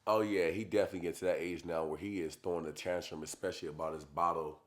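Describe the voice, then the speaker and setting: Hoarse laid-back young man. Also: low voice, steady tone, fast talker. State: hoarse from being sick. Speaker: vlogger, father. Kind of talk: casual chat.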